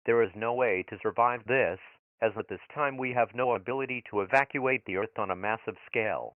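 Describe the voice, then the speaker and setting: A man playing a grave emergency alert announcer, reading formal scripted bulletins in a flat official way through a radio filter. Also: mid-range voice, a little fast, no room sound. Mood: grim, official. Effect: radio broadcast filter. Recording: muffled, phone-like radio transmission.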